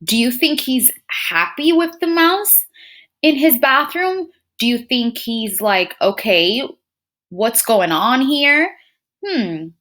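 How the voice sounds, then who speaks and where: A woman teacher reading a picture book aloud to young children, expressive and often pausing to put questions to her listeners. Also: fairly high pitch. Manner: expressive, addresses listeners as friends and scholars, checking in with questions.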